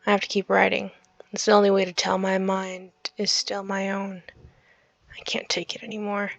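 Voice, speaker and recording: A weary, centuries-old demon recites his journal , voiced by a woman. The delivery is halting and brooding, in a dry space with faint music behind. It belongs to a dark visual novel. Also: mid-range voice, monotone, vocal fry, no room sound, lightly acted. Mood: weary, desperate. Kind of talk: dramatic reading of journal entries.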